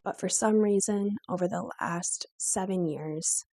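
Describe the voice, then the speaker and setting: A woman's voice: lowish voice, unhurried, music in the background. A woman in her twenties with a weak, strained, breaking voice, telling her own story to camera in a candid, lightly self-mocking way. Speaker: vlogger.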